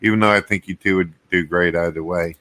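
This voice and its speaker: Middle-aged guy hosting a stream, bright forceful voice, goofy and chatty, dry sound. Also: sits low, steady tone, a little fast, no echo. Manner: joking, chatty, clowning. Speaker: host. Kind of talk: casual livestream hosting.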